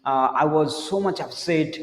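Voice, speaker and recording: A man with a high voice gives an earnest lesson on speaking to camera. He often repeats words and drops his voice low for serious points. The room is echoey with a long reverb. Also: a little slow. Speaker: spoken English teacher. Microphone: camera mic, speaking to camera.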